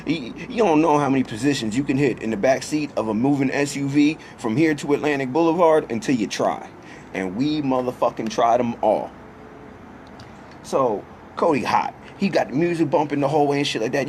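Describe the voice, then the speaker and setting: A man in his thirties telling a casual, profane personal story in a slangy, conversational way, quoting dialogue as he goes. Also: on the high side. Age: 30s. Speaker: storyteller and host. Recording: clear background noise.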